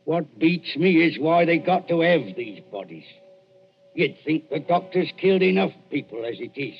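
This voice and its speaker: An actor playing a callous, greedy Cockney body-snatcher in a radio thriller, with a gravelly voice. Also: male voice, on the high side, expressive, moderate pace. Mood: callous, scornful. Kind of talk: 1940s radio thriller acting. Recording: muffled vintage radio recording, music behind.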